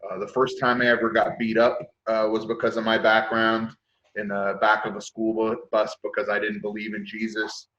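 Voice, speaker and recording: A man's voice: fairly low pitch, completely flat delivery, moderate pace, sharp tone, a little hiss or hum. A man in his late thirties, a podcast guest, speaking frankly and reflectively with many hesitations. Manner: reflective, lots of uh fillers, frank. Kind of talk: casual chat. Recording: dull lo-fi mic.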